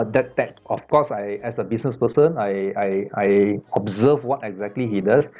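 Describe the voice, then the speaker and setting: A man from Malaysia talking casually on a business panel, repeating words and filling pauses with "uh" as he goes. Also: medium pitch, mellow, dull audio. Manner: halting, many repeated words and fillers.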